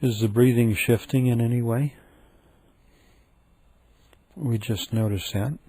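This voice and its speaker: A man leading a guided meditation in a gentle, calm, unhurried manner with frequent pauses. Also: mid-range voice, slightly rough.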